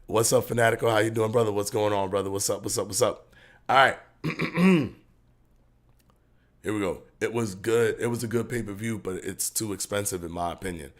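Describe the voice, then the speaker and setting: A low-voiced man hosts a live boxing stream in a loose, casual way. He rambles, restarts his phrases and reads viewers' comments aloud as he goes. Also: normal speed.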